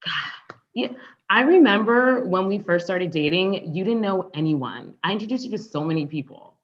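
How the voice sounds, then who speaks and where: A woman's voice: medium pitch, lively intonation, normal speed, dull audio, lightly acted. A woman playing a confrontational, wounded ex-girlfriend in a conversational table read, pointed and sarcastic. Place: online live stream table read.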